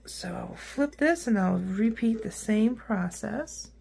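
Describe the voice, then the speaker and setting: Hoarse, gravelly-voiced woman craft host. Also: mid-range voice, lively intonation, slow pace, a little mellow, a little hiss or hum. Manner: chatty and upbeat, with frequent ums and uhs. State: rough, hoarse voice. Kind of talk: casual how-to craft demonstration.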